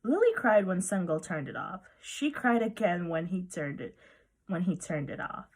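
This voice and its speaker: A woman reading a children's picture book aloud as narrator, with expressive storytelling. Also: on the low side, moderate pace.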